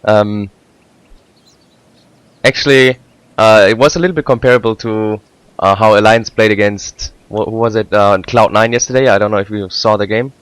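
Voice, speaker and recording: Young man, smooth low voice, dry close sound, hesitant co-caster. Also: fairly even intonation, a bit bright, dry recording. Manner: hesitant, halting, many fillers. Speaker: esports co-caster. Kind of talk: casual game commentary.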